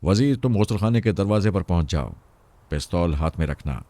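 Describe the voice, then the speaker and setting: Man acting out a sneering, deep-voiced bandit chief. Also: lively intonation, brisk, warm tone, dry recording. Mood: gloating, commanding. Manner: menacing, mocking, commanding. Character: sneering, fearless adult male bandit chief.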